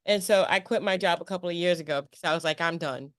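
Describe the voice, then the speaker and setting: A woman hosting a casual interview, expressive and emphatic, often repeating phrases to drive a point home. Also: fairly low pitch, quick pace, no echo, clean studio sound. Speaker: interview show host. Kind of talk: casual chat.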